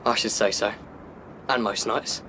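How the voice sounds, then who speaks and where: A man's voice: on the low side, steady tone, a little slow, background noise, close to an everyday voice. Blunt, sullen English teenage son, acted by a male. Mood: sullen offhand. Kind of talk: radio drama acting.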